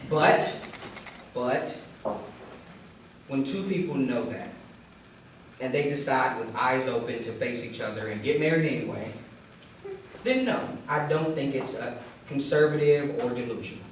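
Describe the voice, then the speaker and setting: A man's voice: medium pitch, a little slow, long pauses. Echoey man giving a conversational reading. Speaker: wedding reader. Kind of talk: conversational monologue reading, reader's theater. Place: large echoey room at a wedding. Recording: echoey hall, distant mic, faint noise.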